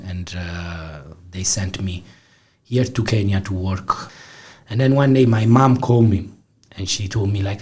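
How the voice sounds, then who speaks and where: A man's voice: deep voice, slightly rough. A man in his forties from southern Italy with a Neapolitan Italian accent, a film director speaking as a studio guest with many fillers and restarts. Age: 40s. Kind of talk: interview guest.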